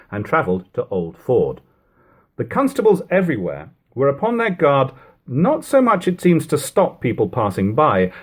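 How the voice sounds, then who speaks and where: A man reading an old literary narrative aloud expressively, in measured phrases with emphatic stresses and a husky voice. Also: mid-range voice, a little mellow. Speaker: audiobook reader. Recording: homemade lo-fi reading.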